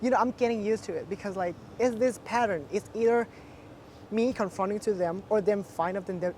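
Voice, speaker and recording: A woman in her twenties from Thailand, with a deep voice, chatting casually in an interview with many fillers and frequent laughs. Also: brisk, mellow, a little hiss or hum. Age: 20s.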